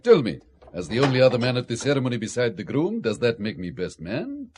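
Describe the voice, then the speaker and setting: A man's voice: medium pitch, very animated, a little fast, darkish tone, husky. A man playing a sly, mock-gallant communist colonel in a radio spy drama.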